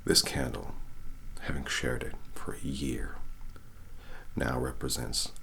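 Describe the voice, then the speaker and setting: Very deep, gravelly whispering man. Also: steady tone, pushed and bright. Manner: whispery, slow and soothing, frequent "okay?" check-ins. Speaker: ASMR reiki practitioner. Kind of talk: soft-spoken ASMR guided session.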